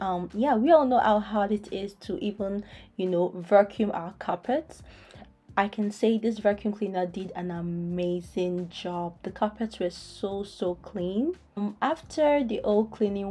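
Young woman vlogging at home, mellow warm voice, chatty with lots of ums. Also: medium pitch. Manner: chatty, many "um" fillers, addresses viewers as guys. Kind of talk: product demo voice-over. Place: home, indoors.

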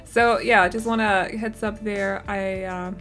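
A young woman narrating a game building video in a chatty, casual voiceover, her voice a little rough and strained, often correcting herself and giggling. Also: medium pitch, steady tone, a little slow, a bit bright, soft background music.

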